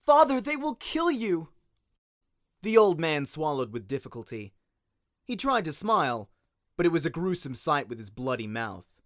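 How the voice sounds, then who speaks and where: A man's voice: a little high, sing-song, normal speed, a bit bright, dry recording, clean studio sound. A man narrating a story in a dramatic, scripted audiobook style.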